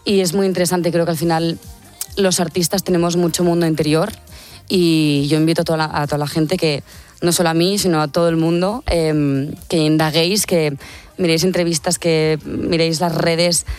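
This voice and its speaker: A woman's voice: deep voice, steady tone, moderate pace, darkish tone, soft background music. A young woman from Catalonia, a singer, talking casually and enthusiastically in an interview, often checking in with "no?". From Catalonia, Spain. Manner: chatty, enthusiastic, frequent filler "¿no?".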